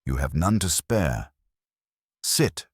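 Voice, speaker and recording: An imperious older detective as a male narrator plays him, with an extremely low voice and a dry, close sound. He hands down formal, measured judgments in a stern, unhurried way, as in an audiobook mystery. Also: sing-song, husky, no room sound, exaggerated voice. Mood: stern, unruffled. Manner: formal, measured, clipped pronouncements.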